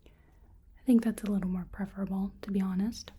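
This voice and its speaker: A woman doing a soft-spoken ASMR roleplay as a curious, polite researcher, often dropping into a whisper, with a gentle, musing delivery. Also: medium pitch, steady tone, moderate pace. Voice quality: breathy, whispery. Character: curious, polite female researcher examining a creature.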